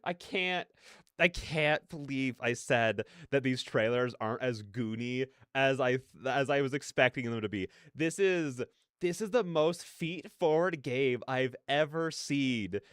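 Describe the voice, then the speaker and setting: A man hosts a casual reaction livestream. His voice is mid-pitched, smooth and clean. He sits close to the mic in a very dry-sounding space. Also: measured pace, fairly bright tone, no room sound. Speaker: streamer, reaction host.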